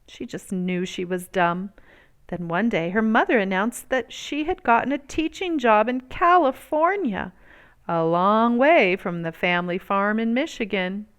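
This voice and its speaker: A woman with a clean, resonant voice narrates a children's picture book. Her pitch sits in the middle range, and she phrases the story expressively, with measured emphasis on key words. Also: lively intonation, clear, resonant tone. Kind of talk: expressive read-aloud narration.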